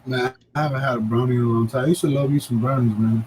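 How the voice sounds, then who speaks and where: A man in his thirties co-hosting a casual livestream, joking and chattering fast with his friends and the chat. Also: mid-range voice, fairly even intonation, a little mellow, slight background noise, poor audio. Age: 30s. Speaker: livestream co-host.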